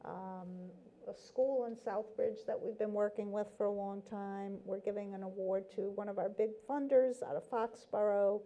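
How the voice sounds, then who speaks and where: Mellow-voiced earnest woman guest. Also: mid-range voice, fairly even intonation, normal speed, hardly any pauses, rough recording. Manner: earnest, explaining, with many fillers. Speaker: guest from a nonprofit. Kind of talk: casual interview chat.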